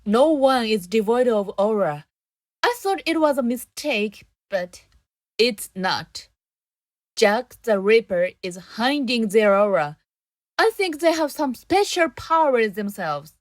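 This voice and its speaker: A woman acts out a naive, earnest young female sleuth. She talks slowly and hesitantly in a fairly high voice. It is a dry, close radio drama recording with music behind. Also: lively intonation, no echo. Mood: awkward, earnest.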